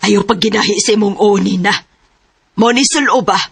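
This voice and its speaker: A woman's voice: mid-range voice, moderate pace, vocal fry, husky, no room sound. A woman playing a firm, insistent beerhouse manager in a radio drama, with a Cebuano Filipino accent.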